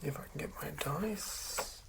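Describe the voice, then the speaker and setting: Slow, whispering woman in creaky ASMR style. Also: sits low. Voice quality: breathy whisper. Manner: unhurried, muttering and repeating words to self. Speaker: host of a tabletop roleplaying ASMR channel. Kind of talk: whispered ASMR, rambling character creation.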